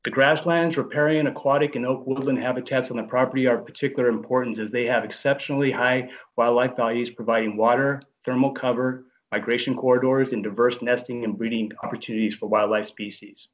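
A man's voice: mid-range voice, fairly even intonation, fast talker, hardly any pauses. A man on staff presenting a project briefing at a board meeting, reading formally from a script over a video call. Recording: dull lo-fi video call audio.

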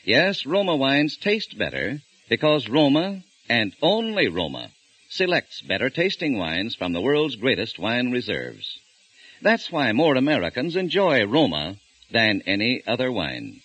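Lively 1940s radio ad announcer. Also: male voice, mid-range voice, measured pace. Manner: smooth, salesman-like. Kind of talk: radio commercial read. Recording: husky 1940s radio broadcast.

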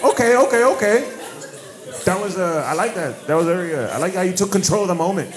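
A man's voice: high-pitched, lively intonation, brisk, music in the background. An American man doing stand-up crowd work on stage, riffing loosely with lots of profanity, laughter and restarts. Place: comedy club stage. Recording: live club recording with noisy background.

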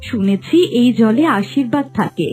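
A woman voicing a gentle, compassionate queen in a fairy-tale cartoon, speaking earnestly. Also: fairly high pitch, moderate pace, faint music behind, close to an everyday voice. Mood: tender.

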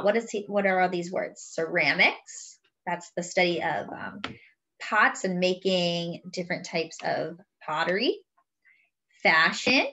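A woman reading a children's picture book aloud expressively, pausing to explain words and pictures as if to young children. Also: medium pitch, a bit bright. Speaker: host of a children's read-aloud.

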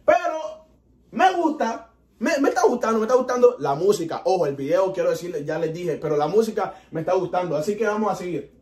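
A young man from Honduras with a Honduran Spanish accent, chatting excitedly as he reacts to a music video in a small room. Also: high voice, a little fast. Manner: chatty, excitable, animated with hand gestures. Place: small indoor room in front of a TV.

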